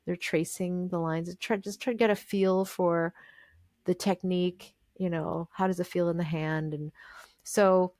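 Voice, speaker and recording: A woman's voice: lowish voice, fairly even intonation, a little slow, a little mellow, no echo, studio quality. A woman being interviewed as a guest musician. She talks in a thoughtful, meandering way, with many fillers and restarts. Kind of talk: casual interview conversation.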